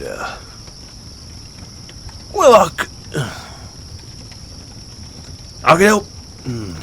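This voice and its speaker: A man playing a gruff but good-hearted orc barbarian in a fantasy audio roleplay, bashful and loyal, stumbling over his words in halting speech. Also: deep voice, bright, forceful tone, a little hiss or hum, exaggerated voice. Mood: flustered. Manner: halting, fumbling speech with sheepish stammers. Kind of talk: fantasy audio roleplay, intimate one-sided dialogue. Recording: outdoor night ambience with crickets behind.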